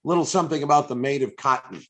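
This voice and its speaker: An older man with an American accent hosts a casual interview. His pitch sits in the middle for a man. He is effusive and fond of reminiscing, and he often repeats his phrases as he talks. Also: fast talker, sharp tone, a little hiss or hum. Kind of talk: casual, chatty live interview. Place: indoors on a video call.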